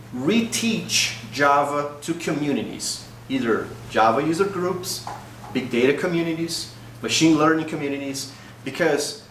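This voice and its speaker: A man's voice: a little high, fairly bright tone. A man in his thirties from Brazil with a Brazilian Portuguese accent, giving a casual conference talk as a developer advocate, often adding tag questions. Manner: conversational, frequent tag questions. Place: small meeting room, indoors. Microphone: far camera. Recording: roomy, distant, faint hiss.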